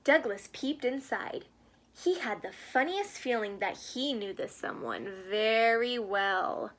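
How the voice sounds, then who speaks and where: A woman reading a picture book aloud to children in an expressive storytelling style. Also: fairly high pitch, takes it slowly. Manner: asks the young listeners questions. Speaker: storybook reader.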